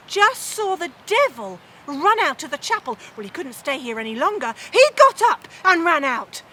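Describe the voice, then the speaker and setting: A woman telling a humorous medieval tale theatrically, with dramatic pauses and emphasis. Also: high-pitched, pushed and bright, slight background noise. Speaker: storyteller.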